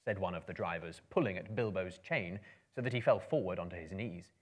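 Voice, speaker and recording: A man in his thirties giving a dramatic book reading, with theatrical, measured, emphatic phrasing. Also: deep voice. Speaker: storyteller. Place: room lined with books, indoors.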